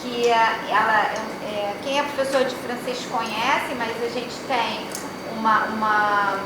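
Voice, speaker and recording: A woman's voice: fairly high pitch, steady tone, a little slow. A Brazilian woman speaking at a roundtable, chatting casually with colleagues and rambling through many restarts and tag questions. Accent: Brazilian Portuguese. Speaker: panelist at an academic roundtable. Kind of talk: casual chat among colleagues. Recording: very echoey room with background noise.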